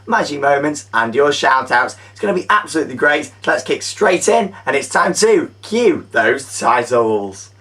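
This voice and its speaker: A young man hosting a chatty, upbeat theme park news show, his voice gone a little croaky, talking enthusiastically with frequent fillers. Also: on the high side, a bit bright, distant mic. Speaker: host.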